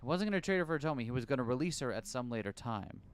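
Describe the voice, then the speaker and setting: A man streaming a game from a hot room at home, reading the story aloud and chatting loosely, his voice turning a little raspy. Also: mid-range voice, expressive, very fast, no echo.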